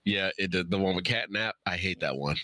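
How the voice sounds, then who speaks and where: A man's voice: low-pitched, brisk, crowd noise. A man in a casual interview chat, answering as a guest in an informal, agreeable way.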